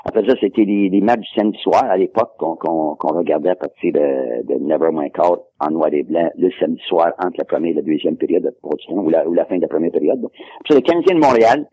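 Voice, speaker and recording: Older man, English speaker, rapid husky delivery, dry close sound, rambling recall. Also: low-pitched, animated, dry recording, narrow, tinny audio. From Quebec. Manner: rambling, repeats words while recalling. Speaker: guest, sports historian. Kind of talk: casual interview.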